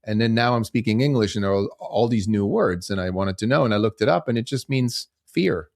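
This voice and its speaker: A man, speaking in a fairly low voice. He talks reflectively in a casual podcast chat and often poses rhetorical questions to himself. The sound is close and dry. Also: fairly quick, creaky, darkish tone, no echo. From Switzerland. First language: German. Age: 50s. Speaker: podcast guest, movement founder. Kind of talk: casual interview conversation.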